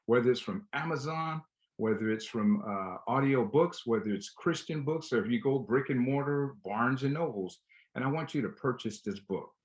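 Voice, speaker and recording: A man's voice: mid-range voice, lively intonation, moderate pace. Male talk show host. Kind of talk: conversational show introduction and interview.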